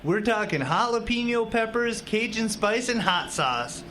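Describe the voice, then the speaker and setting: Male actor as eager young husband, earnest, folksy, radio play, clear background noise. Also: high-pitched, animated, measured pace, pushed and bright, full character voice. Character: eager, homecoming Northland husband, earnest and easygoing. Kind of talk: amateur radio drama acting.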